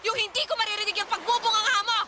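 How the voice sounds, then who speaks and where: A woman's voice: very high-pitched, fairly even intonation, quick pace, a loud crowd, very noisy. A woman voicing a defiant, resentful gambling wife in a Filipino radio drama, with a confrontational, sarcastic, scolding manner and a Filipino accent. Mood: furious, bitter.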